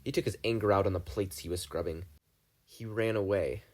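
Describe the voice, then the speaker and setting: A man giving an expressive, dramatic reading of a fan fiction story as an audiobook. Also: lowish voice, fairly quick. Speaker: podfic reader.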